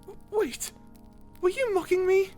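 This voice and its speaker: A woman voicing a cute, feisty little mouse in a character audio roleplay, earnest, proper and excitable. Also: very high-pitched, unhurried, faint music behind, voice pushed up. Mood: indignant. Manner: excitable, indignant, proper, stammering.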